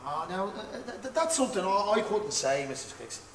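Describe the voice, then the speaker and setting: Male actor as placating lodger, halting delivery, heard from far in a hall. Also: high voice, sing-song, slight background noise. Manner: stammering, soothing. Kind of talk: stage play acting. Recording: echoey hall, far from mic, faint ambience.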